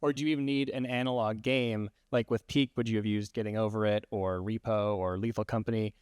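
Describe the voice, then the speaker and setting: A man at a panel quickly asking a string of follow-up questions. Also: on the low side, steady tone, a little mellow, clear, resonant tone, no room sound, studio quality.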